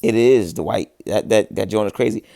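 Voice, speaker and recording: A man's voice: lowish voice, a little fast, vocal fry, dark tone, no echo, clean studio sound. A man in his thirties chatting casually on a livestream, answering viewers with laid-back slang. He says he is losing his voice, and his talk is full of restarts. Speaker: livestream host, musician.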